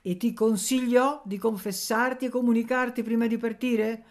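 A woman voicing a kindly, pious, naive older motherly figure in a storybook, earnest and gently scolding. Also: a little high, steady tone, moderate pace, pitched above the performer's own voice, lightly acted. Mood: gently reproachful.

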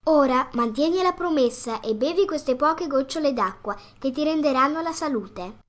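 A high-pitched, motherly fairy from a storybook, played by a woman. She is patient and formal, gently admonishing as she speaks. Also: lively intonation, moderate pace. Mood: patient, coaxing. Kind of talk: acted storybook dialogue.

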